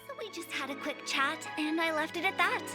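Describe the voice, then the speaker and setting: A woman's voice: extremely high pitch, a little fast. A woman voicing a playful, eccentric young funeral parlor director, theatrical and teasing, in an anime game. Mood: businesslike. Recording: game music under the voice. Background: game music.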